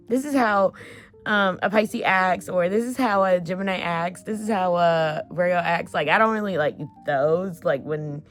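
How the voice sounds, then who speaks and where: A woman in her twenties chatting casually to her camera in a get-to-know-me vlog, full of fillers and offhand swearing. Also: fairly low pitch. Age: 20s. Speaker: vlogger.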